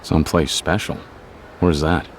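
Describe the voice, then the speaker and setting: A man acting a stoic, gruff young yakuza on the run in an English video game dub, speaking in terse, blunt, guarded lines. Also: flat delivery, measured pace, slight background noise. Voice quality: very deep, low voice.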